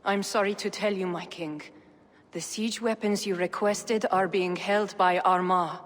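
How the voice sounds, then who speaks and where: A woman's voice: medium pitch, fairly even intonation, unhurried, lightly acted. A woman playing a shrewd, composed merchant informant at a king's court in a video game, speaking formally. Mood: grave, deferential. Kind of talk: video game cutscene acting. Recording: long reverb, faint noise.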